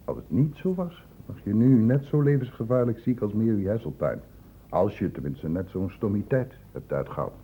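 An actor playing a patient, probing public-health doctor in a radio drama, explaining and questioning insistently. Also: male voice, lowish voice, expressive, normal speed, slight background noise. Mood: probing, persuasive. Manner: insistent, explanatory questioning with short pointed prompts. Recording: dull lo-fi old radio play recording.

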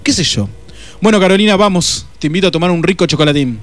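A man's voice: high-pitched, expressive, fast talker, voice pushed up. An Argentine man playing a bumbling, evasive private detective with a hesitant, muttering manner in a radio play. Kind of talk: radio drama acting.

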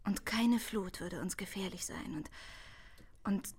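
A clever, proud young woman in a German radio drama. Her breathy, slightly husky voice is mid-pitched and often slips toward a hushed, teasing tone. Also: takes it slowly, exaggerated voice. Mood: wistful. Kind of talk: German radio play acting.

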